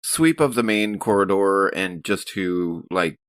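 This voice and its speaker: A man playing a tabletop role-playing game, casually and haltingly thinking his plans aloud. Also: deep voice, lively intonation. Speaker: player in a tabletop RPG.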